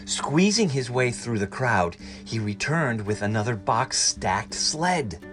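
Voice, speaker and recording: A man reading a storybook aloud with dramatic, expressive delivery. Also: medium pitch, takes it slowly, soft background music. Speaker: storybook reader.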